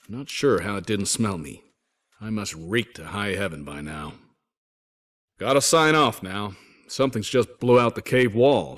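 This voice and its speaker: A man's voice: fairly low pitch, a bit bright. A male actor playing a stranded 1940s American soldier who narrates letters home in a wry, folksy, wisecracking way. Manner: folksy, wisecracking, old-fashioned slang. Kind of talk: scripted first-person letter narration, in character.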